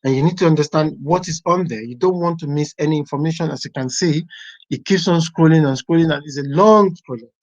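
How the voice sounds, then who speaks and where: A software testing teacher walking a class through a website step by step, thinking aloud as they browse. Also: mid-range voice, brisk. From Nigeria. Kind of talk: live class walkthrough. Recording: dull lo-fi online class audio.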